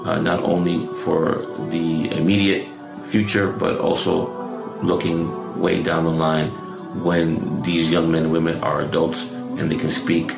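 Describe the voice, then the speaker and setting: A man giving a calm, measured formal address about his community's mosque. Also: low-pitched, creaky. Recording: roomy clip with music behind.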